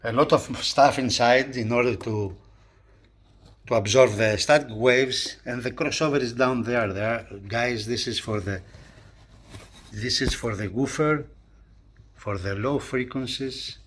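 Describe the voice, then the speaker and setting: A man presenting a hi-fi review in a casual hands-on style, talking haltingly and repeating phrases as he points things out. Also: mid-range voice, a bit bright. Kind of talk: casual hands-on video commentary.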